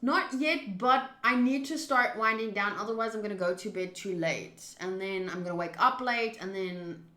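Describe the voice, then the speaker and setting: A woman reads a visual novel aloud on stream and comments casually as she goes. Her voice is bright and expressive, moving between measured narration and loud reactions. The room adds a clear echo. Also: medium pitch. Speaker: game streamer.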